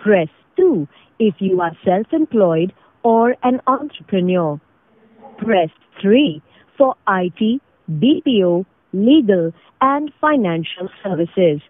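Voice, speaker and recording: A woman with an Indian accent reading formal, scripted automated phone prompts in a measured way over a phone line. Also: medium pitch, big pitch swings, no echo. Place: recorded phone call. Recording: tinny narrow phone line recording.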